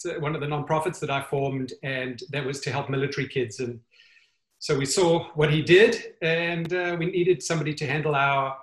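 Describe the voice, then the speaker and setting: A man, a guest on an online interview show, telling personal stories earnestly with many pauses and fillers. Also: fairly high pitch, steady tone, reverberant. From South Africa. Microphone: video call.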